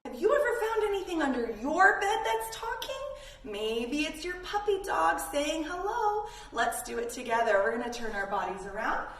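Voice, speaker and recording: A woman's voice: high-pitched, lively intonation, moderate pace, a bit bright. A woman instructing young children in yoga in a playful, encouraging way. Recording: roomy, distant mic, faint noise.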